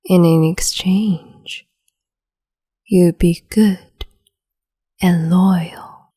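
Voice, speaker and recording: A woman playing a cruel, capricious empress in an ASMR roleplay, whispering in a slow, teasing, domineering way. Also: on the low side, dark tone, heavily acted. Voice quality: breathy whisper.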